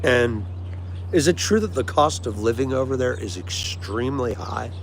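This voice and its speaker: A man's voice: medium pitch, lively intonation, normal speed. A man with an American accent hosting a livestream, chatting casually and agreeably with viewers in a friendly way. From United States. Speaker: livestream host. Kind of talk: casual chat with live viewers. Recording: clear background noise, vehicle-like ambience.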